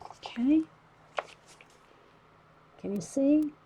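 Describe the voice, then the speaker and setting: A woman with a loud voice, chatting casually to livestream viewers while she shows a coloring technique. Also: sits high, animated, drawn-out words, a little hiss or hum. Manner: rambling, talks to chat viewers by name.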